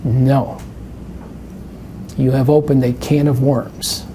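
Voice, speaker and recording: Older New York man, mellow and a bit faint hiss behind; math presenter given to rambling anecdotes. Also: mid-range voice, flat delivery, slow, poor audio. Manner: rambling, anecdotal, self-interrupting. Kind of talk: live webinar Q&A.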